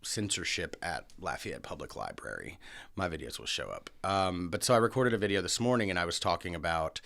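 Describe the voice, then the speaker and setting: A man with a deep voice and a bright, forceful edge talks casually as a guest in a studio. He is curious and a little self-deprecating, and he often stops and restarts his sentences. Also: lively intonation, fairly quick, creaky. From Louisiana. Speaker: podcast guest, local activist. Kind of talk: casual conversation. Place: podcast studio. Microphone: studio microphone.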